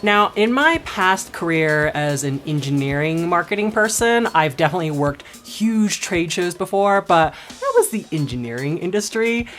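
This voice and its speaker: Chatty low-voiced woman vlogger. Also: expressive, moderate pace, few pauses, fairly bright tone, faint music behind. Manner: chatty, upbeat, laughs often. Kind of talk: casual vlog narration.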